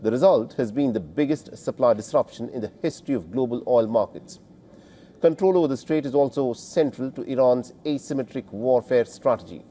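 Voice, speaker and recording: A man's voice: medium pitch, fairly quick, mellow, a little hiss or hum. A man reading scripted news narration as a presenter, in a formal, emphatic delivery.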